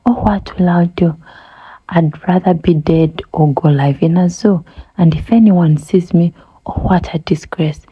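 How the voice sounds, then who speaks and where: A woman's voice: lowish voice, fairly even intonation, unhurried, husky, lo-fi sound. A woman voicing a vain male lion in a storybook, lamenting in a melodramatic, self-pitying way. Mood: despairing.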